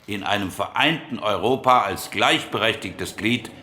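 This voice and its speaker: A man's voice: fairly low pitch, moderate pace, sharp tone, a little hiss or hum. A man playing a precise, pedantic committee member in post-war Germany, debating formally and insistently in a radio docudrama. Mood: critical, exacting.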